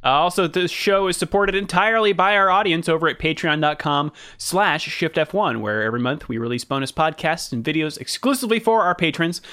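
A man's voice: fairly high pitch, expressive, brisk, hardly any pauses, pushed and bright, clear, resonant tone. A man hosting a podcast, reading the intro and chatting in a playful, upbeat way. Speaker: podcast host. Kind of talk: casual chat and show intro.

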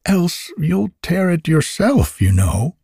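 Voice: mid-range voice, very animated, slow pace, gravelly, dark tone, breathy edge, no echo, clean studio sound, voice pushed up, heavily acted. A narrator voicing a kindly, curious little girl in a storybook, earnest and full of wonder. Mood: motherly.

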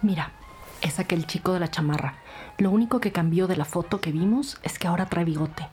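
A woman playing a brisk, commanding police officer in a scripted audio drama. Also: fairly low pitch, lightly acted. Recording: loud music bed behind voice.

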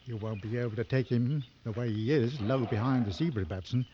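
Actor as commanding, weary big game hunter, vintage radio. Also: male voice, medium pitch, fairly quick, natural voice. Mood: musing, weary. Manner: terse, commanding. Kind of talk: 1940s-50s radio drama acting. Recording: dull vintage radio recording, faint noise.

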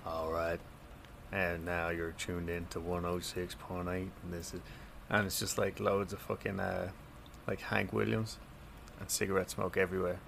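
Low gravelly Irish man. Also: flat delivery, moderate pace, a bit bright, a little hiss or hum. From Ireland. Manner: joking, laughs easily. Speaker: podcast co-host. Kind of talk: casual chat.

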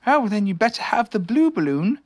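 A kind young boy from a storybook as a reader acts him out. The voice is lifted well above the reader's own, curious and lively in its rise and fall. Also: mid-range voice, fairly quick, background noise, voice pushed up, close to an everyday voice. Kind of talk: children's storybook character voice.

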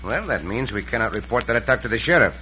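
A man's voice: medium pitch, animated, fast talker, pushed and bright. A man playing a confident, courteous Mexican cowboy hero with a Spanish accent in an old radio western. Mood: brisk, courteous. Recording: hissy, dull vintage radio recording.

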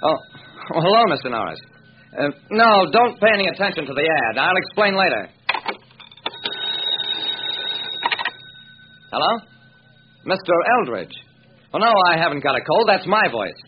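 An actor playing a hard-boiled, wry private detective in an old-time radio drama, with sardonic, self-mocking, clipped tough talk. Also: male voice, a little high, very animated, brisk. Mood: brisk. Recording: muffled, hissy vintage radio recording.

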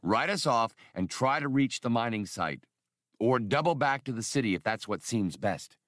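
A man voicing a brash, decisive smuggler and space pilot in an audiobook, with a brisk, commanding, wisecracking manner. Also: mid-range voice, expressive, fairly bright tone, no room sound, clean studio sound, lightly acted. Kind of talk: audiobook character dialogue.

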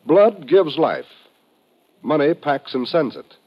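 A man's voice: on the high side, sing-song, slow pace, slightly rough. A man announcing on 1950s radio, reading a script in a formal, earnest manner. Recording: muffled 1950s radio recording.

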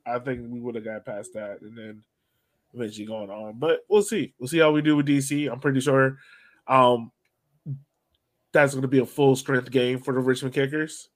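Man, mid-pitched voice, podcast host, casual sports chat, conversational, question-led. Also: level tone, normal speed. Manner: conversational, poses questions to co-hosts.

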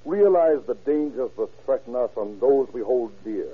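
A man's voice: mid-range voice, animated, moderate pace, breathy edge. A man narrating an old radio crime drama in a grave, formal, moralizing manner. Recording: tinny old radio recording.

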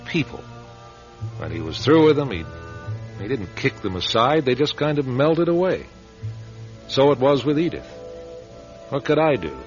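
An actor playing a wry, wistful American man who narrates a radio drama in a reflective, halting, confiding way. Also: mid-range voice, big pitch swings, close to an everyday voice. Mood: wry, reminiscing. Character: wistful, wry man narrating his charismatic friend's rise. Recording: dull radio recording with loud music.

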